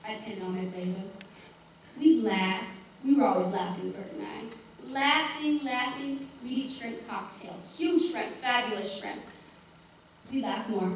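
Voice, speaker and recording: A woman plays an elderly woman in a stage monologue, reluctant and blunt yet chatty. Her voice sits fairly high, and she keeps interrupting herself with dismissive asides. It is heard from a distance in a very echoey room. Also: natural voice. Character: reluctant, embarrassed seventy-two-year-old woman, chatty and blunt. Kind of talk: theatrical monologue. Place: echoey room, recorded from far off. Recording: echoey room, distant mic, faint noise.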